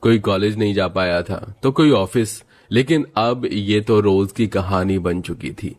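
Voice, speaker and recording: A man's voice: fairly low pitch, measured pace, darkish tone. A man narrating a scripted family moral story.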